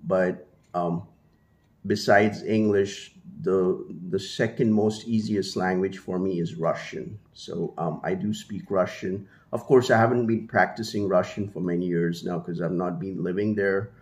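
A man's voice: low voice, fairly even intonation, a little slow, darkish tone. A man in his thirties from India, whose first language is Hindi, talking casually to camera in a small room, rambling with many fillers and restarts. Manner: gestures with one hand, holding a small mic to his mouth. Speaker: vlogger. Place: small room at home, indoors. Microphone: handheld mini mic held at the mouth.

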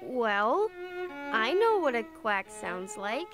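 A performer voicing a gentle, helpful young boy bear cub in a children's cartoon, earnest and reassuring. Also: mid-range voice, big pitch swings, takes it slowly, over music.

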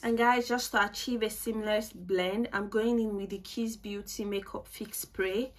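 A woman's voice: mid-range voice, steady tone, measured pace, soft background music. A woman in her twenties chatting casually through a makeup tutorial at home, self-mocking and repeating words for emphasis, recorded by a camera at desk distance. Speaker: beauty vlogger. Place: small home room, indoors.

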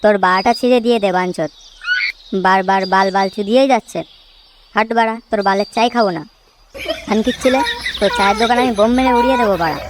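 A woman's voice: medium pitch, fast, pauses often, background noise, lightly acted. A woman voicing a hot-tempered young male village drunkard in a comedy cartoon, cursing and blustering. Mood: irritated, angry.

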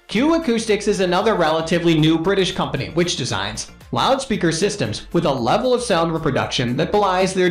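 A man's voice: high voice, roomy sound. A man reading a scripted countdown-style product review in a formal, promotional manner. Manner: formal, promotional read-aloud delivery. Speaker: narrator of product review videos. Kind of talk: scripted top-ten countdown narration.

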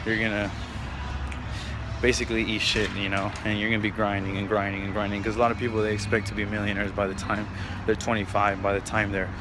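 A man's voice: on the low side, flat delivery, fast. Young man vlogging outdoors, windy and noisy. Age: 20s. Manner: informal, slangy, repetitive hustle pep talk. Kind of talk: casual motivational vlog chat. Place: outdoors at night in an open-air shopping mall. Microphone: handheld phone at arm's length. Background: wind and outdoor urban noise.

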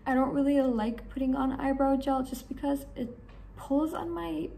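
A young woman with a hoarse voice, chatting enthusiastically to camera in a small room about her beauty products. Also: high-pitched, normal speed, mellow. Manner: chatty, enthusiastic, rambling with fillers. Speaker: beauty vlogger. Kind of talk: casual talk to camera.

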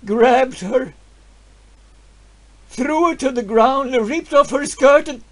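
An actor playing a grieving, stubborn peasant farmer, telling his story in a halting voice that swings from hushed to loud. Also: on the high side, animated, creaky, husky, heavily acted. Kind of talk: dramatic storytelling monologue.

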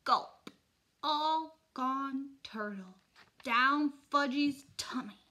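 A woman's voice: sits high, very slow, pushed and bright, pitched above the performer's own voice, full character voice. A woman voicing a mischievous, gleeful toddler boy in babbling baby talk for a storybook.

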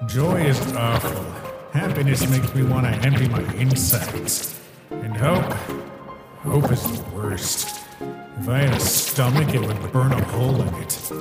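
Guy doing a slow sinister skeleton monster for a comic dub, smug and theatrical, loud music behind. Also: medium pitch, echoey room, lightly acted. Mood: disgusted. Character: sinister, boastful male skeleton monster who feeds on fear.

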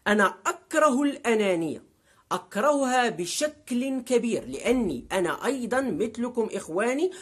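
A man, talking straight to a webcam in his living room, emphatic and repeating words for stress. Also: high voice. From Morocco. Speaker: vlogger. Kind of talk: direct-to-camera vlog monologue. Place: living room with a sofa, indoors.

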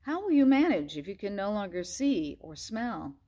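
A woman's voice: mid-range voice, sing-song, moderate pace, mellow. A woman voicing a grateful wolf who guides a mouse, speaking in a gracious, kind way. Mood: concerned.

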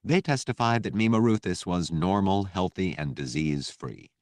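A man's voice: low voice, lively intonation, normal speed, darkish tone, no room sound. A man reading a novel as scripted audiobook narration.

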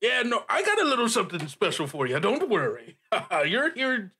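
A man's voice: high voice, huge pitch swings, moderate pace, bright, forceful tone, a little hiss or hum. A man hosting as game master, joking theatrically and often laughing through his words in playful podcast banter.

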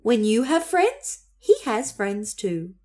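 A woman voicing a wise, patient old male beaver in a children's storybook, explaining in a measured, emphatic way. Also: a little high, very animated, mellow.

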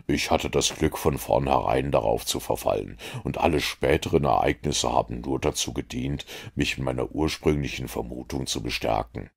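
A man reading as a calm, lecturing detective. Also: extremely low pitch, gravelly, slightly rough, heavily acted. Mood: explaining. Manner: measured, lecturing explanations. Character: calm, confident male detective, middle-aged.

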